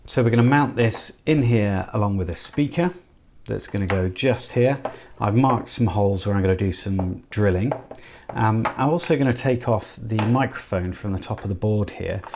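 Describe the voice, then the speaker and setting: Male maker, fry-heavy voice, tentative and self-deprecating casual demo, light background noise. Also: lowish voice, normal speed, a little mellow. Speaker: host of an electronics project channel. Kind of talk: casual hands-on build demonstration, thinking aloud.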